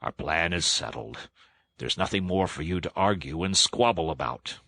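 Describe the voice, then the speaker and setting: A man voicing an older, commanding badger with a dry, measured manner in a children's storybook. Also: rumbling low, lively intonation, bright, forceful tone, breathy edge, no room sound, full character voice.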